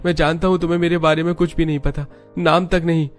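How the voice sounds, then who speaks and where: A man's voice: a little high, level tone, fast, soft background music, voice pushed up, close to an everyday voice. A man voicing an earnest, lovesick young rich man who pleads in a cartoon story. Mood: pleading, lovesick. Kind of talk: animated moral story character voice.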